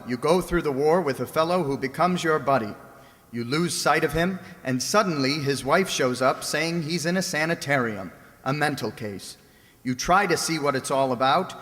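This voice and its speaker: A man's voice: on the high side, a bit bright, long reverb tail. A man reading scripted narration as the announcer of an old-time radio detective drama.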